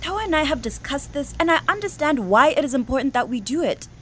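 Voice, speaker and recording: An actress playing a confident young bride-to-be in a radio drama, speaking articulately with a Botswana accent. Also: female voice, high voice, lively intonation, fast, a little hiss or hum. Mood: earnest, persuasive. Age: young adult. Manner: articulate, explanatory, code-switching. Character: confident young bride-to-be businesswoman, reasoning earnestly.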